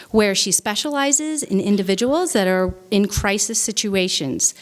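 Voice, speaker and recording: A woman hosting a live training event, welcoming the audience and reading a speaker's biography aloud in an orderly way. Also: medium pitch. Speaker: event host introducing speaker. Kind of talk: formal introduction at a training event. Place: conference room with live audience.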